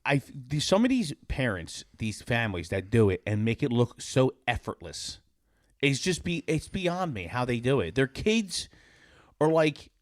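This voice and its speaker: Man with very lively, swinging pitch. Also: a little slow, no room sound, clean studio sound. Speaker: podcast host. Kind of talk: casual chat.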